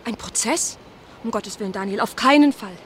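An actress playing a nervous married woman reunited with an old friend, speaking in a hurried, anxious way. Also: medium pitch, a little hiss or hum, natural voice. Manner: hurried, anxious, breathy at times. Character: Eva, a nervous, married woman from his past. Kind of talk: radio play acting.

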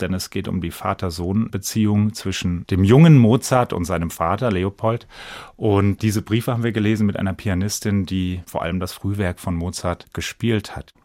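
Guy with a lowish voice chatting in an interview, kinda reflective, really dry close sound. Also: darkish tone, no room sound. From Bensheim, Hesse, Germany. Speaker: interview guest, stage actor. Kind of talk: casual conversational interview.

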